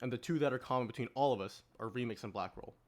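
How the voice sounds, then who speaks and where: A man's voice: mid-range voice, very fast. A man casually hosting a podcast panel, organizing the discussion and keeping the group on track. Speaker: podcast host moderating a panel.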